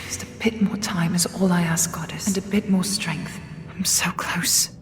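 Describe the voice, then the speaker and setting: An actress playing a weary, resolute immortal woman leader in an audio drama, speaking in a breathy, whispering, intimate way. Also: fairly low pitch, fairly even intonation, moderate pace, natural voice. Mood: whispered, pleading. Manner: breathy, whispering, grave delivery.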